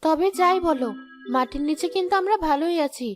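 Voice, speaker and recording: Cartoon role: actress as practical village wife, high bright-spirited voice, loud music underneath. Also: female voice, brisk, close to an everyday voice. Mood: content. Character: cheerful, practical village housewife. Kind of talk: animated moral story voice acting. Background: loud background music.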